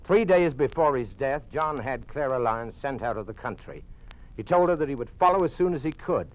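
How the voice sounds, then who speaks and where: A man's voice: medium pitch, expressive, moderate pace, close to an everyday voice. A man playing a defiant, suspicious doctor in a police state, blunt and challenging, in an old-time radio drama. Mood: insistent, accusing. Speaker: actor. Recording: dull, lo-fi old radio recording.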